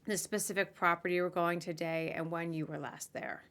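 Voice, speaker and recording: Lower-voiced woman, informal podcast host. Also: steady tone, normal speed, a bit bright. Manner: chatty, informal, self-deprecating. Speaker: podcast host interviewing a guest. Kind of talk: casual live interview.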